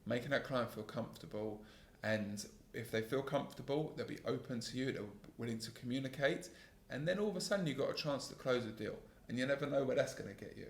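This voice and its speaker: A man in his thirties hosting a solo podcast, talking in a calm, earnest, self-critical way about his work as a sales trainer, with a gravelly voice. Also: mid-range voice, quick pace, echoey room, slight background noise. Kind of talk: solo reflective podcast monologue.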